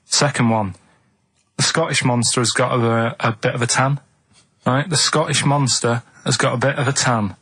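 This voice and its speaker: A man reading out quiz clues and chatting casually on a radio show, in a halting, matter-of-fact way. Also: medium pitch, fairly even intonation, fairly bright tone, slightly rough. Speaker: radio show regular running a quiz feature. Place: radio studio.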